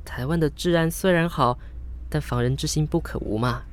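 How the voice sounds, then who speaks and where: A woman's voice: low voice, normal speed, natural voice. A woman with a Taiwanese Mandarin accent playing a flustered, self-mocking young woman in an audio drama, hesitant and polite aloud, blunt when muttering to herself. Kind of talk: audio drama acting with spoken inner monologue.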